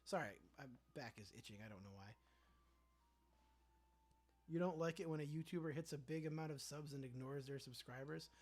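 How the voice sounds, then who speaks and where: A young man runs a relaxed livestream, reading out viewer messages and riffing on them with playful asides. His voice sits a little on the high side for a man. The talk is loose and conversational. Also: normal speed, pauses often. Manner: chatty, reads viewer comments aloud and riffs on them playfully. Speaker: livestream host reading chat. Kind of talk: casual livestream chat.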